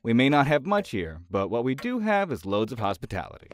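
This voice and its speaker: A man's voice: mid-range voice, huge pitch swings, a little fast, a little hiss or hum. A man acting as a friendly, chatty, slightly evasive small-town realtor in an audio drama. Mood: friendly, folksy. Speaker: real estate agent showing a house. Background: faint music.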